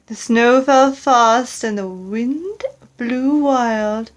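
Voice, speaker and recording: A woman reading a children's story aloud as narrator, with dramatic and deliberate phrasing. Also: a little high. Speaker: storyteller, audiobook reader.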